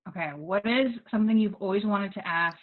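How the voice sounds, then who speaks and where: A woman's voice: medium pitch, fairly quick. Chatty American woman on a tinny call. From United States. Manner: chatty, with many ums and likes. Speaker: guest English teaching assistant. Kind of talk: casual conversation with students. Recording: tinny phone-like video call audio.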